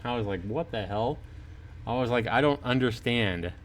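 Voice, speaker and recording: A man's voice: medium pitch, moderate pace, a little hiss or hum. A man chatting informally and jokingly with viewers as he reads and comments on a visual novel on a livestream. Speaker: livestreaming gamer reading a visual novel to chat. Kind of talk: casual livestream play-through commentary mixed with reading aloud.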